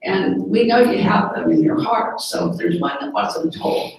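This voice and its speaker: A religious sister hosting a gathering, inviting guests to share stories in a chatty way with filler words. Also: female voice, mid-range voice, normal speed, vocal fry, a crowd in the background. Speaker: host, sister of the religious community. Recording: echoey room, mic far off.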